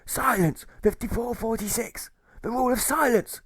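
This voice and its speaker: A man voicing a gruff, volatile old sailor turned jailer in an audiobook, with a stage pirate accent and blustering, exclamatory delivery. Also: very high-pitched, moderate pace, no echo, voice pushed up, exaggerated voice. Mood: barking.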